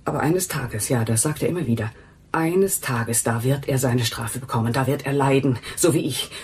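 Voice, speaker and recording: A woman's voice: extremely low pitch, normal speed, a little mellow, slight background noise. A woman playing a defensive, agitated housekeeper in a radio crime drama, speaking in insistent, broken sentences. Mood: brooding, troubled. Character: defensive, agitated housekeeper protective of her brother. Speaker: actress in a crime radio play. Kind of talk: German radio drama acting.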